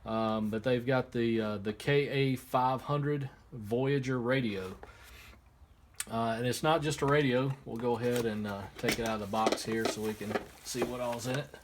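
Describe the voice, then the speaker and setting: Male product reviewer, mid-pitched, casual and halting, step-by-step explainer, noisy workshop recording. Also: fairly even intonation. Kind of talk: casual hands-on unboxing and demonstration. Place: indoor workshop. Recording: clear background noise, room ambience.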